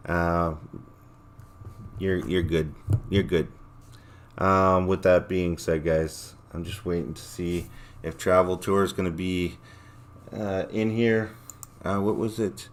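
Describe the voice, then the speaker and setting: Deep-voiced man rambling on a livestream. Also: little pitch movement, slow, long pauses, a little hiss or hum. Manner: rambling, blunt and profane. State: voice a little worn, losing it. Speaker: livestream host. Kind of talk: casual livestream sign-off chat, reading chat comments aloud.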